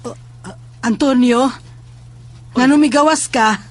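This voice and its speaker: A woman's voice: high-pitched, a little slow. A woman playing a stern, commanding mother who works as a folk healer in an audio horror drama. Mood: questioning.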